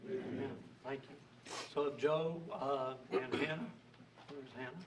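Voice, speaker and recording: Hoarse man chairing a meeting. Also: mid-range voice, steady tone. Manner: halting, many filler pauses. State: hoarse, losing his voice. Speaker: school board president. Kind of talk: presiding over a public meeting. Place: public meeting room. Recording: echoey room, distant mic, background noise.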